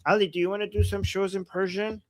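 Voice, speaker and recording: Male live-stream host, rapid casual chat, slightly high voice, dry close sound, repeats himself for emphasis. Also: level tone, dry recording. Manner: switches between English and Persian, translating aloud. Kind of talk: casual live-stream chat, reading out and answering viewer messages.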